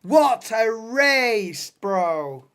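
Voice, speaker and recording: A woman hosting a live race commentary stream, excitable and bursting into shouts and laughs, her voice going hoarse. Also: fairly high pitch, drawn-out words. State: losing voice, hoarse.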